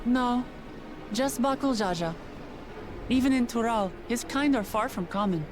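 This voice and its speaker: A woman's voice: a little high, lively intonation, measured pace. A woman voicing a proud, plain-spoken young princess in a video game, earnest and blunt. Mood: explanatory. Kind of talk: scripted video game voice acting. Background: music.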